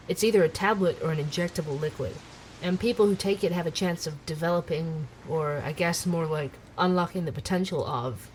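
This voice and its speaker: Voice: medium pitch, a little hiss or hum, lightly acted, rough recording. An actor playing a young, curious, skeptical night-shift barista who narrates an investigation in a musing, conversational way for an audio drama.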